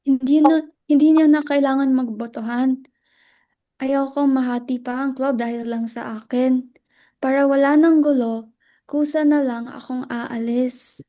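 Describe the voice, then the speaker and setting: A woman's voice: high-pitched, steady tone, measured pace, dark tone. A woman with a Filipino accent playing a wounded, self-sacrificing wife in a dramatic reading. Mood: hurt, resolute. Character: wounded, self-sacrificing drug suspect's wife, resigned and gentle.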